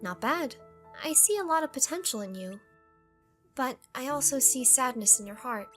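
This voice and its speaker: A woman playing a gentle but firm, mysterious young female master and fighter in a video game fan dub. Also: sits high, expressive, normal speed. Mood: gentle, composed. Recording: music under the voice.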